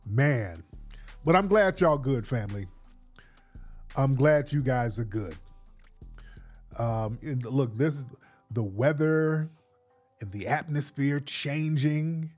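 A man's voice: medium pitch, animated, slow pace, long pauses, a little mellow, faint music behind. A man hosting a late-night live show, congested, talking in a hyped, casual way and repeating himself. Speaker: host.

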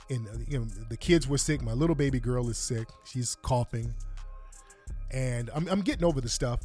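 A congested man ranting bluntly and profanely to his viewers in an exasperated commentary. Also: mid-range voice. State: congested from a cold. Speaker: online commentator.

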